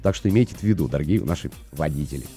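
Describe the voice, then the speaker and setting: A man's voice: extremely low pitch, expressive, very fast, over loud music. A man hosting a radio show, chatting loosely with many fillers into a studio microphone. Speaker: radio host. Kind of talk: morning radio show chat.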